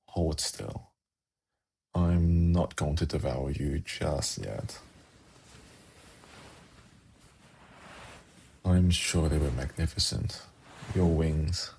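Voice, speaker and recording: A man playing a suave, teasing, gently menacing demon prince in an intimate ASMR fantasy roleplay, with a slow, coaxing delivery. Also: slight background noise, exaggerated voice. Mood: teasing, musing. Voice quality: very low, gravelly, husky.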